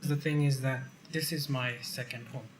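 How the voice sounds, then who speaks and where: A man leads an informal group discussion as interviewer. His voice sits at a medium pitch, and a little noise runs behind it. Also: steady tone, moderate pace. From immigrant who grew up partly in Finland.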